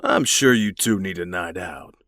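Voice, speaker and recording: A man's voice: low voice, moderate pace, fairly bright tone, no room sound, studio quality. A man playing an easygoing new shop employee in an audio drama, talking in a casual, conversational way. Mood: easygoing, reassuring.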